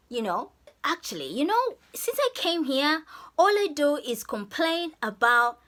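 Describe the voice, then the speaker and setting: A woman's voice: fairly high pitch, sharp tone. A woman from Zambia chatting effusively to camera in a vlog, laughing often. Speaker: vlogger. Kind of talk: casual vlog talk to camera.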